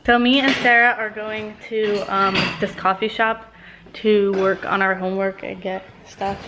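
A teenage girl vlogging casually into a phone held at arm's length, chatty with lots of fillers, often speaking softly. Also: medium pitch, steady tone, measured pace, noisy background. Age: teens. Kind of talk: casual vlog chat. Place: home, car and outdoors.

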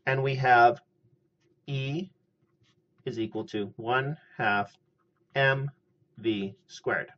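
A middle-aged man giving a scripted chemistry lecture at a steady, methodical pace, recorded on a webcam in a small room. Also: mid-range voice. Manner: measured, methodical explaining. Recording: slightly muffled lo-fi audio.

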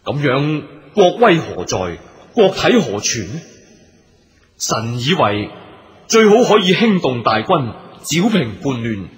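Resolute court minister, echoing hall. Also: male voice, fairly high pitch, big pitch swings, slow. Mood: indignant. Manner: formal, declamatory. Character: earnest, hawkish imperial court minister, righteous and resolute. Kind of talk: Cantonese radio drama acting. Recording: long hall reverb.

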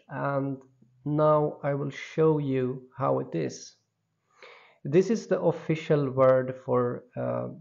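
Slow, gravelly Swedish-accented man. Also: medium pitch, muffled recording. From Sweden. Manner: halting and insistent, with many restarts. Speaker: video creator. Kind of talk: monologue voice-over.

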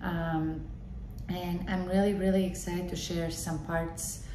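Thirties woman host from Bosnia, lowish voice, solo podcast, earnest and filler-heavy; echoey room, audible background noise. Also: little pitch movement, slow, warm tone. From Bosnia and Herzegovina. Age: 30s. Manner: earnest storytelling with frequent fillers. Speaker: podcast host and tennis coach. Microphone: large desk condenser mic in front.

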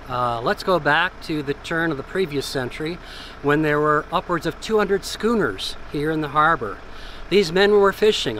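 A man in his sixties guiding a walking tour, speaking in a measured way and listing details with emphasis, recorded outdoors by a harbor. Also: fairly high pitch, a bit bright, rough recording. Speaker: tour guide. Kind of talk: guided walking tour narration. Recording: faint outdoor background noise.

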